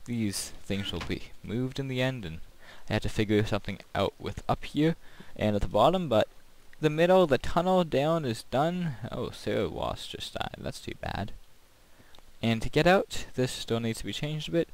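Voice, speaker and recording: Male gaming host, mid-pitched voice, casual commentary, rambling, conversational, unscripted. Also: animated, moderate pace.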